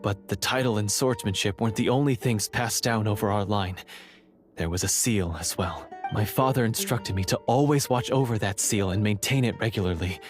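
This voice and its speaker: An actor playing a troubled, earnest male heir of a monster-hunter family in a video game, with a dramatic, halting delivery. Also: lowish voice, over music, close to an everyday voice.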